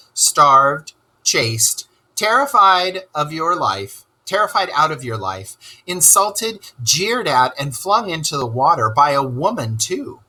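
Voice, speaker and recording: Man playing a bossy, excitable storybook water rat. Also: a little high, pitched above the performer's own voice, heavily acted, low-quality recording. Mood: scolding. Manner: emphatic, exclaiming, scolding. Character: stern, bossy, excitable male water rat, scolding friend. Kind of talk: children's storybook read-aloud.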